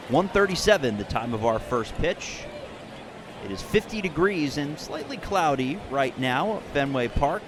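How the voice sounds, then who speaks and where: Male baseball play-by-play announcer over background noise. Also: mid-range voice. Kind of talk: live sports commentary with sponsor reads.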